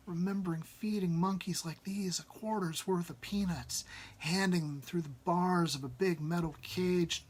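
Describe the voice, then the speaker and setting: A man reading his own short story aloud as narration, with deliberate, dramatic phrasing. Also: high voice, breathy edge. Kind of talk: dramatic second-person story narration after casual introduction.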